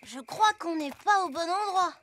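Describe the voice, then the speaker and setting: An actor voicing a good-natured, timid, food-loving little boy in a French cartoon dub, naive and excitable. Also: very high-pitched, moderate pace, a little hiss or hum, full character voice. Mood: worried.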